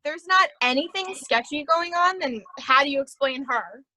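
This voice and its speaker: A woman's voice: high-pitched, expressive, normal speed, sharp tone, no room sound, poor audio. An actress in a staged reading playing an aggrieved, suspicious woman, insistent and argumentative. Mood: accusing. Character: aggrieved, suspicious woman accusing a scheme of cheating her.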